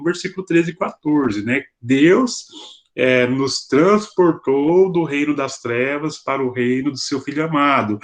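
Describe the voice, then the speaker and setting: A Brazilian man with a fairly high voice teaches scripture on a video call. He speaks as a preacher guiding a study group, explaining step by step in an informal, conversational manner and often checking in with short tag questions. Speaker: preacher leading a Bible study. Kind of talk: informal expository Bible teaching.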